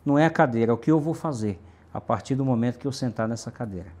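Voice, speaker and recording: A man's voice: medium pitch, very fast, darkish tone. A middle-aged man from Brazil's Northeast, a city councillor answering interview questions emphatically with lists and repetition.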